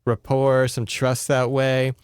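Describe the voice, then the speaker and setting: A man's voice: mid-range voice, monotone, slow, a little mellow, clear, resonant tone, no echo, studio quality. A man with an American accent chatting casually and wryly as he recaps a book as a podcast co-host. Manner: wry, informal, sarcastic.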